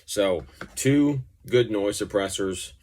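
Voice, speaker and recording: A man with a low-pitched voice talks through a gear demonstration as a musician would. His delivery is informal and loosely explanatory, wandering from point to point in a conversational way. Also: slow pace, a little mellow. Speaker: pedal reviewer and musician. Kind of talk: casual gear demonstration talk.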